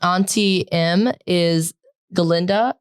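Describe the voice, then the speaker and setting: Loud, low-voiced teenage girl chatting. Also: fairly even intonation, very slow, smooth, dry recording. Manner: loud, projecting, rambling with many "like" fillers. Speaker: podcast co-host. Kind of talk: casual chat.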